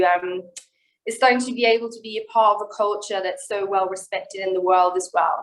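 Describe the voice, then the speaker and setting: An earnest woman from Norway talks over a video call, her voice sitting at an ordinary middle pitch. She pauses often with ums and starts her sentences over, sounding sincere and reflective. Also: low-quality recording. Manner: warm and earnest, many ums and restarts. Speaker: guest, singer and entrepreneur.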